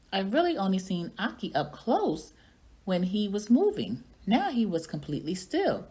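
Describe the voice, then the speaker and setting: A woman reading a children's story aloud in an expressive storytelling manner. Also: mid-range voice. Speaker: reading coach or teacher reading aloud.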